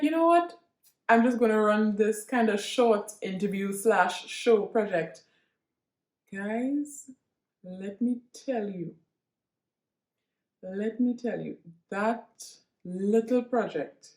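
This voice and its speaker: Trinidadian woman, solo vlog host; earnest, halting delivery, takes her time; echoey room sound. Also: medium pitch. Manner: earnest, halting, with frequent restarts and repeats. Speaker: vlogger. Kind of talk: reflective personal monologue to viewers.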